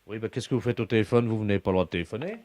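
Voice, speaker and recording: A man's voice: fairly low pitch, rapid-fire, darkish tone, slight background noise, lightly acted. A man playing an authoritative hospital psychiatrist on a hoax phone call, with a brusque, official manner. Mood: scolding. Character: brisk, authoritative male psychiatrist from a mental hospital. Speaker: prank caller.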